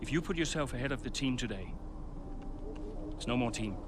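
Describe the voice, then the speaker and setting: An actor playing an embattled racing team boss in a game cutscene, firm, commanding and urgent. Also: male voice, mid-range voice, lively intonation, fairly quick, very noisy, close to an everyday voice. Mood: urgent pleading. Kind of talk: dramatic cutscene acting.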